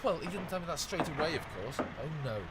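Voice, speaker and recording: A man's voice: on the high side, animated, fast, lightly acted. A young man playing an exasperated, sarcastic homeowner in a radio comedy, with dry indignation. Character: exasperated, sarcastic Englishman defending his home. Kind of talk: radio comedy play acting. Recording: loud outdoor machinery and vehicle noise.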